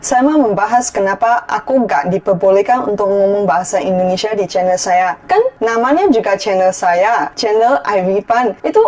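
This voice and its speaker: A woman's voice: medium pitch, normal speed, hardly any pauses, a little mellow, faint music behind. A Malaysian woman with a Malay accent, talking in an indignant, casual vlog rant. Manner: indignant, mixes Malay and Indonesian slang. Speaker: YouTuber.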